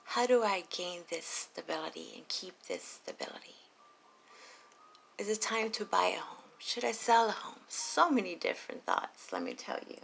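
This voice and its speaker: A woman reading tarot late at night, talking softly and low and slipping into whispers. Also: big pitch swings, normal speed, gravelly, a little hiss or hum, poor audio. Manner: soft and low, drifting into whispers. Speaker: tarot reader.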